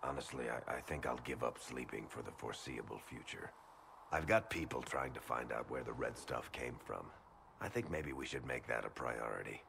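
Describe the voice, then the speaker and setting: An actor playing a world-weary but teasing male adventurer in a video game, with a deep gravelly voice and a wry manner. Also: moderate pace, a bit bright, a little hiss or hum, exaggerated voice. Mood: grim, wry. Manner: wry, sardonic, conversational. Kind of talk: video game character dialogue.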